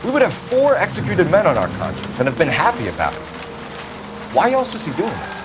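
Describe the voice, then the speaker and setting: An actor playing an earnest, driven 1940s police detective in a video game, analytical and authoritative. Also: male voice, a little high, wildly swooping pitch, a little fast, very noisy. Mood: urgent, reasoning. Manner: intense, analytical, clipped authority. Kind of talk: dramatic video game acting.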